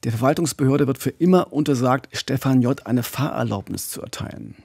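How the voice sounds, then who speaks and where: Male true-crime co-host, forties, husky and creaky, dry studio sound. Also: medium pitch, a little fast, darkish tone, no room sound. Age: 40s. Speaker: podcast co-host. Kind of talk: true-crime podcast narration. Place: small radio studio. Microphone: close studio microphone with pop filter.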